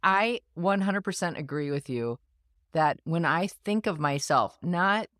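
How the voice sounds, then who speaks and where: A woman's voice: lowish voice, lively intonation, fairly bright tone, dry recording, clean studio sound. Laughing woman in her fifties. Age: 50s. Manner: playful, quick to laugh, short backchannels. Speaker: podcast co-host. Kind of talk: casual chat.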